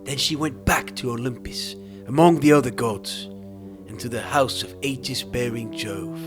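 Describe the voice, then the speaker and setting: A man narrating an epic audiobook in a grave, theatrical storytelling style, with music behind him. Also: mid-range voice. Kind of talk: dramatic scripted audiobook narration.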